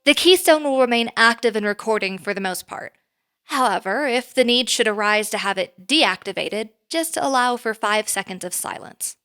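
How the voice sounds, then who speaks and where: A woman playing a poised, reserved boss in an audio drama, speaking formally and in a measured way. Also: a little high, expressive, bright, forceful tone, smooth. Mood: businesslike.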